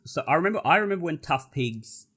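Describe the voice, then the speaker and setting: A man's voice: on the high side, lively intonation, fast talker, a bit bright, slightly rough. A man in his thirties from Australia with a nasal Australian accent, chatting casually as a podcast guest over a video call. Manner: self-deprecating, chatty. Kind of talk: casual chat.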